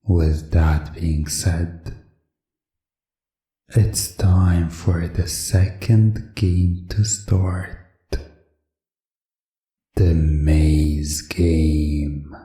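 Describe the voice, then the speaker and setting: Villainous game master, acted by a man: low, mellow, mocking, theatrical, echoey roleplay audio. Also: fairly even intonation. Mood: smug menace. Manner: theatrical, slow, taunting, drawn-out emphasis. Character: menacing, mocking male game master toying with his captive contestant.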